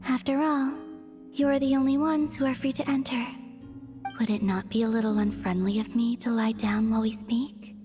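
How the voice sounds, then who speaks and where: A woman playing a serene, mysterious young woman in a video game, gently aloof, with soft, measured, formal phrasing. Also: on the high side, steady tone, music in the background, natural voice. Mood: calm, wistful.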